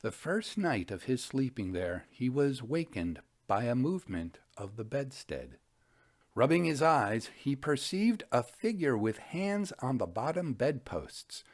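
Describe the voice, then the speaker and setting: A man reads old-fashioned tales aloud as a storytelling host. His delivery is measured and literary, with a very animated pitch that rises and falls widely around a middling register. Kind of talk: reading an old ghost story aloud, with commentary.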